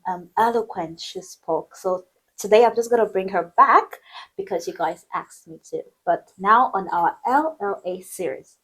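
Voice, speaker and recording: A woman's voice: mid-range voice, rough recording. A woman hosting her own vlog channel, talking casually and upbeat, speaking straight to her viewers and interviewing a guest. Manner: chatty, upbeat, addresses viewers directly. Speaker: vlog host. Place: indoors in China.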